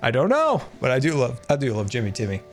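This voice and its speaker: A man chatting casually on a game live stream, excitable and talkative, thanking his viewers as he plays. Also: medium pitch, quick pace, soft background music.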